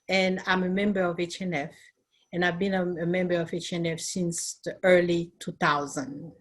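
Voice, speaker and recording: A middle-aged Haitian woman who is a nurse and educator, telling her story in an earnest, thoughtful way with pauses and fillers. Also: on the low side, level tone. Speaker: nurse and nursing educator, interviewee. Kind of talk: recorded interview, personal recollection.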